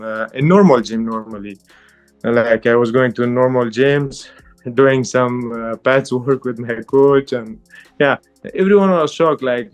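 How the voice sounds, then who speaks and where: A young man whose first language is Pashto, answering interview questions in halting English and laughing often. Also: medium pitch, highs cut off. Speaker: MMA fighter, interviewee.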